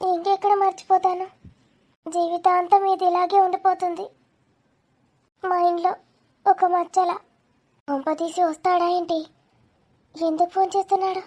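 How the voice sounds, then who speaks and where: A woman voicing young women and a worried mother in an animated village drama. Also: very high voice, moderate pace, pauses often. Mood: brooding. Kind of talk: animated story dialogue in colloquial Telugu.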